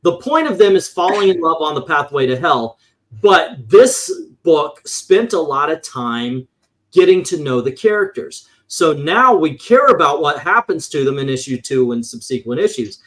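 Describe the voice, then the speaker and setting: A man's voice: fairly high pitch, big pitch swings, moderate pace, fairly bright tone. A man reviewing comic books in casual, opinionated panel chat, rambling and swearing, acting out his exasperated reactions.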